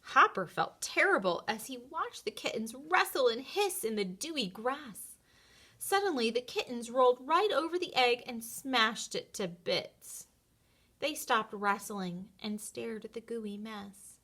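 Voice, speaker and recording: A woman narrating a children's story in an expressive read-aloud style. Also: fairly high pitch, a little slow, breathy edge.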